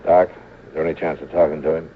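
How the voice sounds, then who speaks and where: An American actor playing a laconic, gruff frontier marshal in a radio western, deadpan and terse. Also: male voice, rumbling low, fast talker. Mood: dry, unhurried. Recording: tinny, noisy old radio recording.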